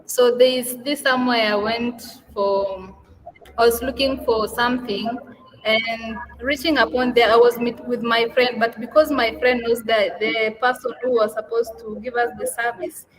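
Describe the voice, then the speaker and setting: A woman's voice: fairly high pitch, fairly even intonation, moderate pace, long reverb tail, poor audio. A young woman with a Kenyan English accent, a youth participant answering questions hesitantly, often searching for words. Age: young adult.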